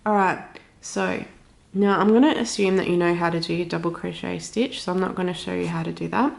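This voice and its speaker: Snuffly, creaky-voiced woman teaching crochet. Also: lowish voice, steady tone, a little fast. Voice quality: snuffly, slightly stuffed-up nose. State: has a cold. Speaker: crochet tutorial host. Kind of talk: casual step-by-step craft tutorial.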